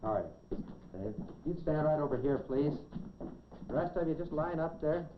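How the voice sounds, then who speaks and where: A man with a slightly high-set voice presents a science demonstration like a folksy showman, measured and dryly witty. The sound is that of a 1950s educational film, dulled in tone with faint noise underneath. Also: animated, muffled recording.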